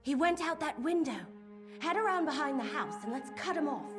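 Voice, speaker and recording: A determined female adventurer from a fantasy game, high and animated in pitch. She is brisk, purposeful and friendly, speaking as a companion who takes the lead, with music playing behind her. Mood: urgent, commanding. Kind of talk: video game voice acting.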